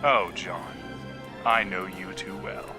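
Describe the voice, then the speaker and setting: Man acting as male vampire turned hero, low voice, humble, familiar, audio drama. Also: slow pace, over music. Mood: teasing.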